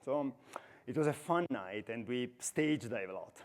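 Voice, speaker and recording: A man's voice: mid-range voice, lively intonation, moderate pace. A man in his forties with an Italian accent, giving a chatty, self-deprecating conference talk on stage into a headset mic. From Italy. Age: 40s. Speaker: conference speaker.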